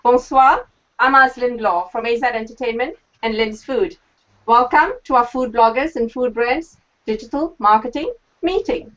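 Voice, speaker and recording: A woman hosting a live online panel, moderating briskly and cheerfully. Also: a little high, a bit bright, muffled recording. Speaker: host.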